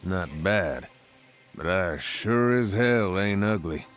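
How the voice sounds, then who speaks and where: An actor playing a cocky, wry cowboy gunslinger with a Southern American drawl in a video game. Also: male voice, sits low, lively intonation, slow, soft background music. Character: laconic male cowboy outlaw gunslinger, gruff and wry. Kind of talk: video game hero voice lines.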